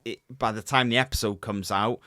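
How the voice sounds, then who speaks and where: A man's voice: lowish voice, fairly quick, no echo, studio quality. Chatty man co-hosting a podcast. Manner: chatty, animated, lots of fillers and restarts. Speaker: podcast co-host. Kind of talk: casual podcast chat.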